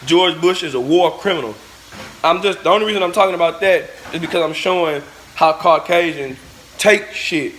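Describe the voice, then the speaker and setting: A man with a high voice delivers a fired-up vlog rant, blunt and profane. He lectures his viewers directly, driving each point home like a sermon. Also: moderate pace, fairly bright tone, a little hiss or hum, poor audio. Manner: blunt, profane, preachy, talks straight at the viewers. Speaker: vlogger. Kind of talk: ranting monologue to camera.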